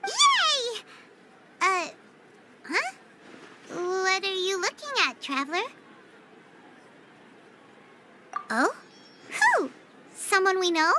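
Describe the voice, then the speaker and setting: Tiny childlike game fairy, extremely high squeaky voice, very animated, cheeky, perky, loud noise in the recording. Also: female voice, slow, long pauses, heavily acted. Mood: excited, curious. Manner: refers to herself by name, perky and childish. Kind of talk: video game dialogue acting.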